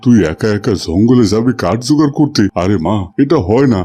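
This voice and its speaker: A man's voice: medium pitch, animated, brisk, close to an everyday voice. A man voicing a patient, protective middle-aged village uncle in a fairy-tale cartoon, reasoning in a fatherly way over music. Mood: concerned, consoling. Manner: reasoning, fatherly, gently remonstrating.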